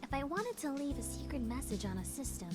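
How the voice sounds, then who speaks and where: A woman's voice: high voice, very animated, fairly quick. A woman voicing a confident, teasing young female hacker in a visual novel game. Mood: intent, explaining. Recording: music playing behind the voice.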